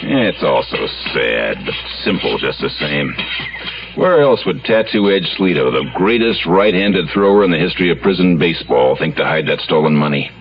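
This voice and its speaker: A deep-voiced American detective lieutenant, on a dull old radio broadcast with music behind. He is shrewd and dogged, giving terse orders like a cop in a seventies radio play. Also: lively intonation, moderate pace. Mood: knowing, wry. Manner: terse, commanding. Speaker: actor in a radio drama. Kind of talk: 1970s American radio drama acting.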